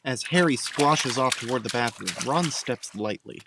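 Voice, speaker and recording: A man's voice: mid-range voice, moderate pace, noisy background. A man narrating a scripted audio drama, describing the scenes as a storyteller.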